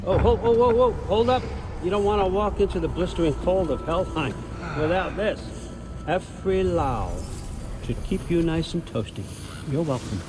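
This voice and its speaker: A man's voice: sits high, expressive, moderate pace, music in the background. An older man acting as a folksy, paternal, manipulative god-king in a video game cutscene. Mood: breezy. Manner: casual, folksy, coaxing, commanding when crossed.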